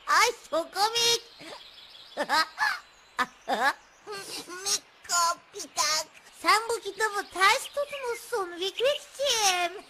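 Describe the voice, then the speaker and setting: An actress voicing a sweet, patient little puppet girl with a bright childlike voice, affectionate and coaxing, for a children's TV show. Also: very high-pitched, expressive, very slow, pauses often, a little hiss or hum, full character voice. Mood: amused. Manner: coaxing, full of endearments.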